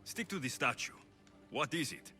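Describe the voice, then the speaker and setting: Game lead: dry, wry mercenary, bright forceful tone, highly varied pitch. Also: male voice, animated, normal speed, faint music behind. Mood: impatient. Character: gruff, wry male mercenary hero of ancient Greece. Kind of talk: video game cutscene acting.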